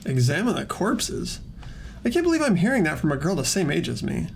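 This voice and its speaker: A man voicing an earnest, easily flustered teenage boy in an amateur visual novel dub, both narrating and speaking with a hesitant, expressive delivery. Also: fairly high pitch, a little fast, creaky, a little hiss or hum, heavily acted.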